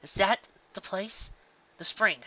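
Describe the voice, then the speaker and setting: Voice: medium pitch, slow, pushed and bright, muffled recording, voice pushed up. A reader voicing an earnest, anxious young female unicorn in a fan fiction story. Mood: nervous.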